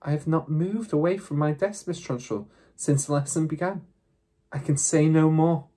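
A small, serene schoolgirl from a storybook, read by a man. The voice is quiet and a little husky. The wording is formal and utterly composed, with no fear in it. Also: on the high side, steady tone, moderate pace. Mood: total calm. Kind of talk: storybook character reading.

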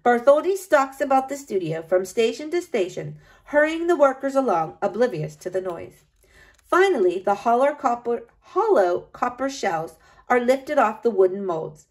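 A woman's voice: on the high side, unhurried. A woman reading as a diligent workshop assistant. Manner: steady descriptive storybook reading.

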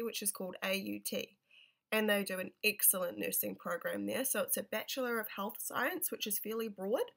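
Brief: twenties New nurse vlogger, stuffy and nasal, gravelly edges, casual and halting. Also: female voice, mid-range voice, sharp tone. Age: 20s. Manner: rambling, many ums and restarts. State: nasal from a cold. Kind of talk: casual advice vlog. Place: small bedroom, indoors. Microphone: webcam at close range.

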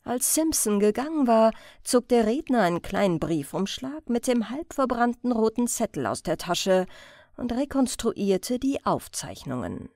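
A woman reading a crime story as an audiobook narrator in a measured, careful storytelling manner. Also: on the high side, sing-song, warm tone, dry recording, studio quality.

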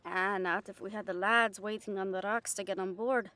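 A woman's voice: mid-range voice, fairly even intonation, moderate pace, sharp tone, close to an everyday voice. A woman playing a fierce, loyal catgirl pirate first mate in a roleplay audio drama, earnest and plain-spoken. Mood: determined, defiant. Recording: voice over background music. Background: music.

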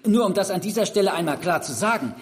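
A man's voice: high-pitched, lively intonation, fast. An actor playing a self-important male conspiracy blogger on a talk show, pedantic, insistent and stumbling over his points. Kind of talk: audio drama talk-show interview.

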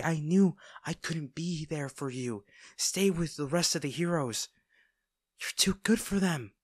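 A man acting as a smitten villain in an intimate audio roleplay, speaking directly to the listener with theatrical pauses. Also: mid-range voice, dry recording, clean studio sound, natural voice. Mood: pleading, earnest. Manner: teasing, theatrical, pausing, addressing the listener intimately. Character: male villain, teasing, smitten captor of a hero.

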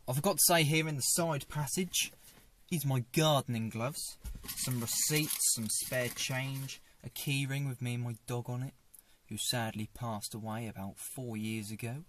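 A man's voice: mid-range voice, steady tone, measured pace, background noise. A young man, seated in a parked car, shows what he keeps in it to a camera on the dashboard and jokes dryly about it. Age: young adult. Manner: dry, self-mocking, chatty. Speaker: vlogger. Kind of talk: casual car tour vlog. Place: parked small car, indoors. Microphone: fixed dashboard camera.

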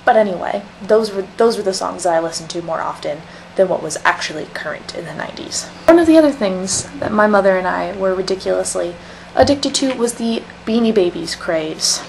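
A woman's voice: medium pitch, lively intonation, brisk, creaky. A young woman chatting casually to camera as a vlogger, with a wry, emphatic way of talking. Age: young adult. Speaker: vlogger in a collaborative channel. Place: small indoor room at home. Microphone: webcam or laptop mic at desk distance. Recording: noisy webcam audio with room ambience.

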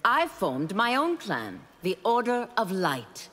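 An actress playing an earnest, righteous warrior woman in a video game, with a husky voice. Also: mid-range voice, wildly swooping pitch, slow, fairly bright tone, full character voice. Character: earnest, righteous female warrior, founder of holy clan. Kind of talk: video game fight intro dialogue. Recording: long echoing reverb.

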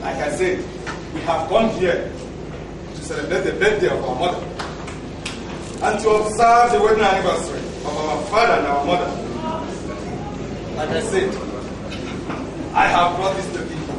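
A man's voice: high-pitched, normal speed, pauses often, close to an everyday voice. A man with a Nigerian accent playing a pompous, self-important landlord turned politician who speechifies in a stage play. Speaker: stage actor. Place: theatre stage indoors. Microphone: far camera. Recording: echoey noisy hall, far-off camera.

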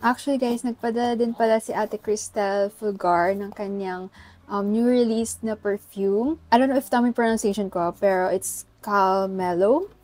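A young Filipino woman talking to camera in a relaxed haul vlog. She chats easily at a middling pitch, mixing languages and tossing in "guys" every few lines. Recorded in a bedroom on a clip-on mic. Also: normal speed. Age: young adult. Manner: chatty Taglish, keeps saying "guys". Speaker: vlogger. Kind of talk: casual haul chat. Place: bedroom, seated on floor.

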